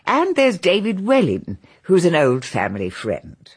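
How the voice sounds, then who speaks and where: A woman's voice: on the low side, huge pitch swings, unhurried, husky, exaggerated voice. An actress playing a genteel, confiding elderly English grandmother and hostess who rambles on in a radio drama. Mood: chatty, fond. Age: older.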